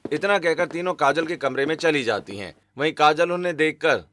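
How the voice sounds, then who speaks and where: A man's voice: a little high, fairly quick, fairly bright tone. A man narrating a scripted cartoon story as its storyteller. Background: background music.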